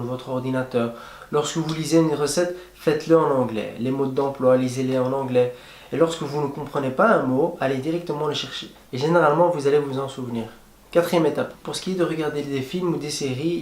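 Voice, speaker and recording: A young man talks directly to his viewers in a friendly, plain-spoken way, sharing tips as a travel vlogger. His voice sits at an ordinary middle pitch. The room around him rings with noticeable echo. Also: darkish tone. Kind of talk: casual advice vlog to camera. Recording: echoey room.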